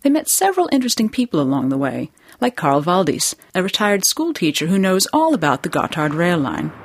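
A woman hosting a radio program in a studio, reading scripted narration in a measured presenting manner. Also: on the low side, sing-song, no echo. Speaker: program host.

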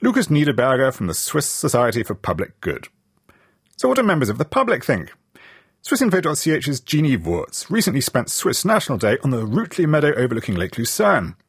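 A man presenting a scripted radio news feature, reading his narration with lively delivery. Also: medium pitch, brisk, breathy edge, no echo, clean studio sound.

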